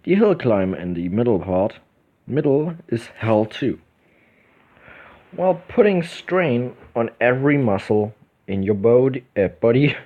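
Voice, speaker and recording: A man from Germany with a German accent hosting a casual let's play, reading visual novel text aloud haltingly and correcting himself as he goes. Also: mid-range voice, big pitch swings. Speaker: gaming video host. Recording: dull lo-fi microphone, music behind.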